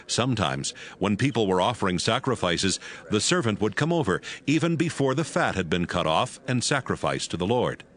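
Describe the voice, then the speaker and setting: A man narrating dramatized scripture from a script, telling it as a measured story. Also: fairly low pitch, big pitch swings, fairly bright tone.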